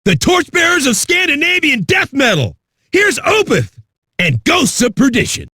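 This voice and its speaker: An actor playing a cocky, crude, manic metal radio DJ with a raspy, shouty delivery. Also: male voice, very high voice, huge pitch swings, normal speed, no room sound, clean studio sound, full character voice. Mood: hyped, brash. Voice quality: raspy, gravelly. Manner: brash, profane, shouty. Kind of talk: hard rock radio DJ patter.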